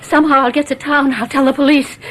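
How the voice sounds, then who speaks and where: An actress playing a protective, anxious wife in an old-time radio mystery drama, earnest and dramatic. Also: female voice, high voice, little pitch movement, fast talker, a bit bright. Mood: frightened defiance. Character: protective, anxious wife, gentle but defiant. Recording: noisy old radio tape.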